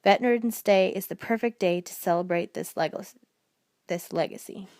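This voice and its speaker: A woman's voice: mid-range voice, normal speed, darkish tone, no echo. A woman narrating a scripted documentary, reading aloud and now and then stumbling over a word.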